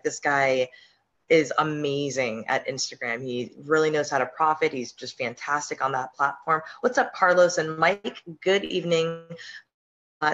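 A hoarse woman with a slight sore throat talks casually through a live-stream screen share, with frequent ums and restarts as she explains her steps. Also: sits low, fairly bright tone, lo-fi sound. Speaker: podcast host and online business coach.

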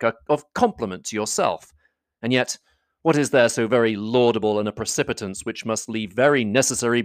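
A reader as a proud, reserved gentleman. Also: mid-range voice, dry recording, clean studio sound, close to an everyday voice. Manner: measured, curt, formal. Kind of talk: classic novel audiobook dialogue.

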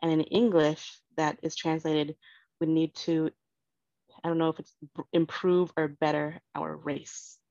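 A woman's voice: low voice, flat delivery, dark tone, dry recording. Earnest woman, dull dry recording. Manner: earnest, reflective, measured. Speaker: guest parent. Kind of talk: casual conversation.

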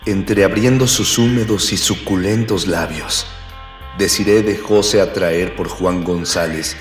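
Casting: grandiloquent radio-play narrator, male, lowish pitch, florid and formal, reverberant sound. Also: measured pace, soft background music. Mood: solemn, florid. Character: pompous narrator of a space-adventure comedy, grandiloquent, archaic. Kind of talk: mock-literary radio drama narration.

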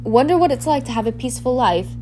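Storybook role: plain-spoken young schoolboy, raised pitch woman reading the part. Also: lively intonation, quick pace, warm tone, faint music behind, close to an everyday voice. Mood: weary. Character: wide-eyed schoolboy, plain-spoken and weary.

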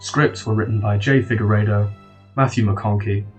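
Guy announcer doing end credits, scripted read, slightly deep, faint music under it. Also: fairly even intonation, moderate pace, dull audio.

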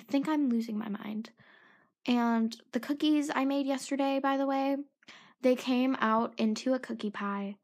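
A high, bright teenage girl chatting alone into her podcast mic. She rambles and mocks herself, often trailing off into whispers. Her throat is sore and her voice sounds tired. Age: teens. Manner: self-mocking, scattered, drifts into whispered asides. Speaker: podcast host. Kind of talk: rambling solo podcast chat.

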